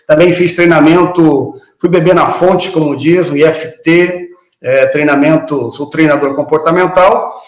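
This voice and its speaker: A man's voice: on the high side, echoey room. Earnest man lecturing on a video call. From Paraná, Brazil. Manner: earnest, reflective, with frequent hesitations. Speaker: guest speaker, behavioral trainer. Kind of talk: online lecture talk.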